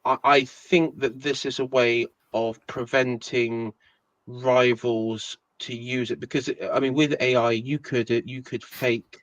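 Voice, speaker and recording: A man's voice: mid-range voice, steady tone, measured pace, fairly bright tone, dry recording, muffled recording. A man co-hosting a casual online political chat, rambling with many fillers like um and you know.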